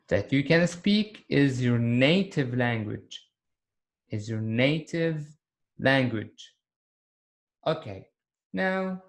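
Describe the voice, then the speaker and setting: A man teaching an English lesson on video, speaking slowly and repeating key words for his students. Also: mid-range voice.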